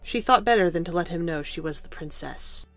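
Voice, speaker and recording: A woman's voice: on the low side, animated, brisk, soft background music. A woman reading scripted storybook narration as the narrator of an audio drama.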